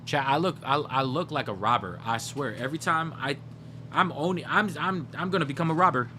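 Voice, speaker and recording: A man's voice: a little high, a little fast, bright, forceful tone. Rambling young man streaming over a hum. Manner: rambling, brainstorming jokes aloud, talking to chat. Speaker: livestreamer. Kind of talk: casual chat with live viewers.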